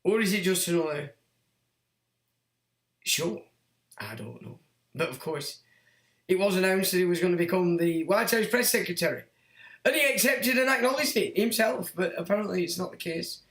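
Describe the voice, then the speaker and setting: Sick, croaky man with a high-set voice, sardonic rambling host, echoey room. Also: expressive, normal speed, pauses often, a bit bright. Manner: rambling, sardonic, talks to himself with fillers. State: hoarse, croaky from being sick. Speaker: livestream host. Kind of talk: rambling solo livestream monologue.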